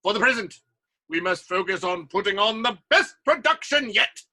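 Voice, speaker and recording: A man's voice: high voice, expressive, moderate pace, pushed and bright, heavily acted. A man playing a bossy, imperious playwright who leads a theatre troupe, with dramatic delivery in an audio play. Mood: commanding.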